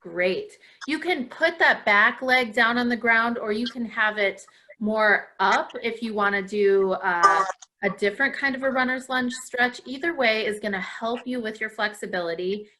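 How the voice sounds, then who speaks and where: A woman hosts a live movement class for an audience at home. Her voice sits fairly high and sounds very bright and forward. She instructs in an encouraging, praising manner. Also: normal speed, low-quality recording. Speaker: dance class host leading children's stretches.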